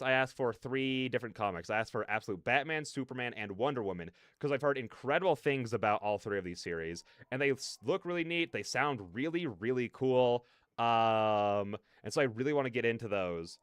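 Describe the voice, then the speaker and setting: A man's voice: mid-range voice, lively intonation, brisk, a bit bright, clear, resonant tone, no echo, clean studio sound. A man hosting a livestream, chatting casually in a rambling, slangy, digressive way. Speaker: livestreamer hosting a reaction stream.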